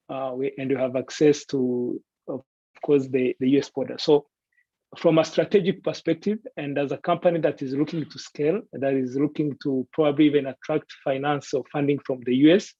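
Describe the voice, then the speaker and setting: A man giving a semi-prepared guest presentation in a deliberate, polite way. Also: fairly high pitch, a little mellow, no echo. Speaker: guest presenter pitching a city. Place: online video call. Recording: dull, lo-fi online call audio.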